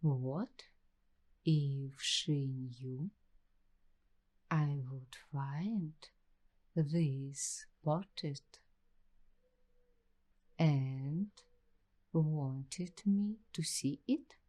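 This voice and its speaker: Woman as very slow, low-voiced uneasy ASMR waitress. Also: heavily acted. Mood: suspicious, musing. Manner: hushed, halting delivery in short broken phrases with long pauses. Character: uneasy, suspicious young waitress fascinated by an eerie discovery. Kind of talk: slow ASMR roleplay, addressing the listener.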